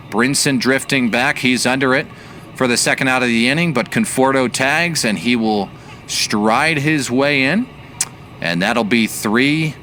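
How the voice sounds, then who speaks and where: A man giving baseball play-by-play commentary as the announcer, measured and analytical in his delivery. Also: mid-range voice, fairly bright tone, slight background noise.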